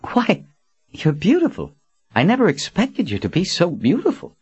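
A man's voice: high-pitched, huge pitch swings, moderate pace, darkish tone, breathy edge, no room sound, lo-fi sound, voice pushed up, exaggerated voice. A man voicing a cocky young male assassin, boyish and mocking, with falsely polite, offhand talk. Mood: eager.

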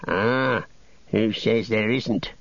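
A small, quarrelsome orc tracker snarls and whines, as a man voices him in a storybook reading. The voice is gravelly and husky, pitched a little above the narrator's own low tone. It sounds dull and dry, with no room around it. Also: very slow, dry recording, full character voice. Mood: taunting. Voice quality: snarling, nasal.

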